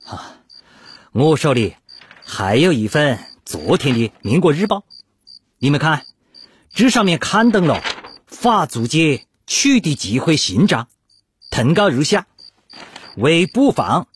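An actor playing an earnest, concerned revolutionary delegate in a Chinese radio drama, serious and declamatory. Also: male voice, a little high, big pitch swings, takes it slowly, pauses often, a little hiss or hum. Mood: grave, alert.

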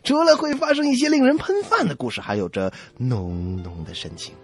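A man's voice: medium pitch, a little fast, over music. A man co-hosting a comedy radio show with exuberant banter and joke-telling.

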